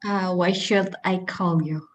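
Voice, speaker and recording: A young woman, a student sharing in an online class over a video call, halting and searching for words. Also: fairly low pitch, fairly even intonation. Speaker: student participant.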